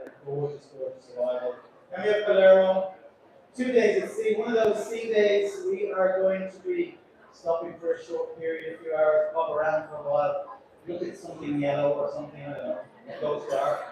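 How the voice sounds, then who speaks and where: High-voiced captain in an echoey hall. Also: male voice. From Ireland. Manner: chatty, joking, self-deprecating. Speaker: cruise ship captain. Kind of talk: announcement to passengers. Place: large echoey atrium on a cruise ship. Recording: echoey hall from far off, crowd noise.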